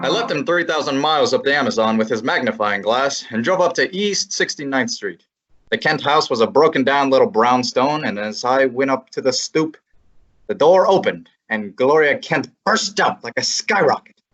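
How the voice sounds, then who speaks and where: A young man voicing a wisecracking male private eye who narrates a radio play, with a brisk, wry manner. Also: medium pitch, expressive, fairly bright tone, faint music behind. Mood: narrating. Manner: brisk, wry, hard-boiled. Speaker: high school drama student. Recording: muffled lo-fi recording.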